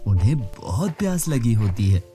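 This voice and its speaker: A woman's voice: very deep voice, big pitch swings, normal speed, warm tone, faint music behind. A woman narrating a scripted children's moral story as the storyteller.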